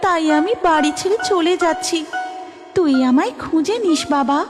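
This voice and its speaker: A woman's voice: very high-pitched, moderate pace, big-room sound, soft background music. A woman voicing a hurt, motherly goddess who coaxes tenderly in a devotional cartoon. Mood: plaintive, tender. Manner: plaintive, motherly.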